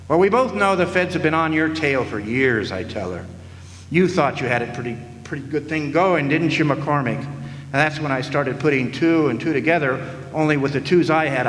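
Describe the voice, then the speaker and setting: A man's voice: medium pitch, a bit bright, natural voice. A man playing a sardonic hardboiled private eye. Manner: dry, sardonic noir narration. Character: wisecracking hardboiled male private eye narrator. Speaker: lead actor and narrator. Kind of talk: live stage radio play. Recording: echoey hall with faint noise.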